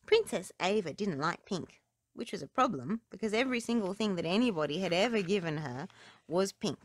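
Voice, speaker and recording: A woman's voice: medium pitch, animated, brisk, no room sound. A woman narrating children's stories and rhymes aloud as a storybook reader, recorded close up indoors.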